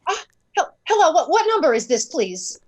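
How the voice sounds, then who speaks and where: A woman's voice: sits high, sing-song, moderate pace. A woman playing an anxious, querulous invalid wife in an old-time radio thriller, fretful and pleading in manner. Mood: anxious, confused.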